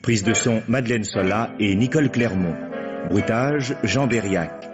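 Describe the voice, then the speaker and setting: A man's voice: on the low side, a little slow. A man formally announcing the title and credits of a radio drama from a script. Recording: dull vintage radio recording, music behind. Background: theme music.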